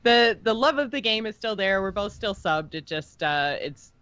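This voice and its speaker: A woman's voice: medium pitch, lively intonation, normal speed, fairly bright tone, muffled recording. A woman co-hosting a podcast, chatting in a casual, informal and teasing way about something she follows as a fan. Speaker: podcast co-host. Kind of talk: casual, unscripted fan discussion.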